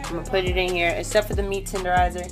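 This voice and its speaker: A woman's voice: fairly low pitch, level tone, racing, music in the background. A young woman, narrating her home cooking step by step and often checking in with "okay?". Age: young adult. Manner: chatty, walks through each step, tags lines with "okay?". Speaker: vlogger. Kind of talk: casual cooking vlog narration. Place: home kitchen, indoors. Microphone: handheld phone camera.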